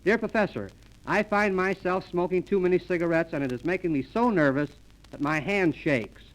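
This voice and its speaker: Male radio comedy host, high-pitched, mock-formal, on an old 1930s transcription disc. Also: normal speed, rough recording. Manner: mock-formal announcer delivery.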